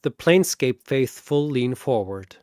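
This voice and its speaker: A man's voice: medium pitch, expressive, unhurried, a little mellow, clear, resonant tone, no room sound, studio quality. A man narrating a scripted fantasy battle story in a dramatic storytelling style.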